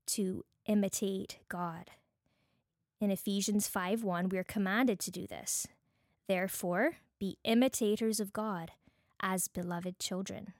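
A woman with a Bajan accent giving an earnest, deliberate devotional teaching talk as a host, mixing prepared points with personal reflection. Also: mid-range voice, a little mellow, dry recording, studio quality. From Barbados.

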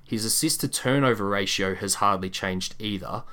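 Low-voiced man hosting a sports podcast. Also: moderate pace, pushed and bright. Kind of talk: podcast chat with prepared stats quiz.

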